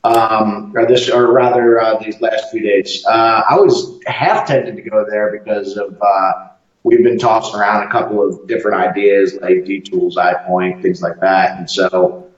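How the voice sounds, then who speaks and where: A man speaking as a business-owner guest from his office on a video call, relaxed and hesitant with many ums. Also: fairly low pitch, unhurried, roomy sound, far from the mic, muffled recording. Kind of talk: remote interview conversation.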